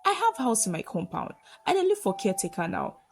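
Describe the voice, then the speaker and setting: A woman's voice: mid-range voice, big pitch swings, fairly quick, vocal fry, background noise. A woman with a Nigerian accent voicing a friendly, grateful male landlord in a folktale, coaxing in short phrases. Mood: persuasive.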